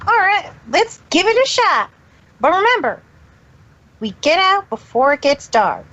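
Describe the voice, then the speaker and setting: Woman voicing an eager, high-pitched country filly. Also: sing-song, unhurried, fairly bright tone, lo-fi sound, heavily acted. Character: eager young country filly pony, cheerful. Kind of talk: fan fiction character reading.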